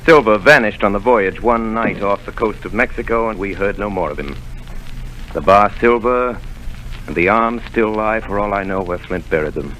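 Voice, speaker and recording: A grown man recalls the past in measured, brooding tones, as an actor voices him. His voice is low yet bright, with faint hiss behind it in an old radio play. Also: lo-fi sound, lightly acted. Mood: reflective. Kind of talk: storytelling narration.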